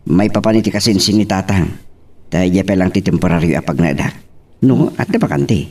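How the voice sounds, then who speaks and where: A man's voice: sits low, a little fast, darkish tone. A man playing an easygoing, practical young man in an Ilocano audio drama. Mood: practical, casual.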